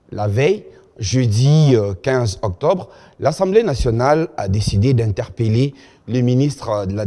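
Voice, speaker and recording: A man hosting a studio interview, measured and full of hesitations. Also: mid-range voice, warm tone, big-room sound. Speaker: TV talk show host.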